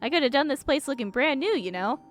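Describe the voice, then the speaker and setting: A woman's voice: high voice, animated, fast talker, faint music behind, voice pushed up. A woman voicing a sweet, eager, chatty small-town cleaning woman in a game, gushing and folksy. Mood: cheerful.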